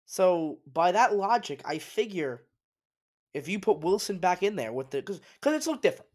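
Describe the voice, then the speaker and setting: A man's voice: high-pitched, big pitch swings, normal speed, darkish tone. Congested man giving sports opinions. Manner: opinionated, emphatic, restarts often. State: congested, sniffling. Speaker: sports podcast host. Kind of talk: solo sports talk commentary.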